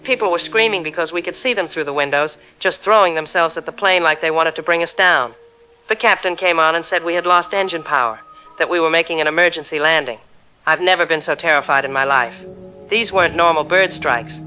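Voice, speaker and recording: An actor playing a shaken airline passenger giving a tense, emphatic eyewitness account in an audio drama. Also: male voice, a little high, brisk, bright, forceful tone. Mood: frightened. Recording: slightly dull sound, music behind.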